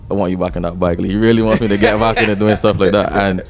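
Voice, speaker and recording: A man's voice: on the low side, steady tone, very fast. A young man, a rapper talking casually and reflectively as a podcast guest. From Barbados. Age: 20s. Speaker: rapper and songwriter interviewed as guest.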